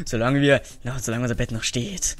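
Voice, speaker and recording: A young man giving excited, casual gameplay commentary, often repeating phrases in quick bursts. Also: medium pitch, soft background music. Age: young adult. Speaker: gaming YouTuber.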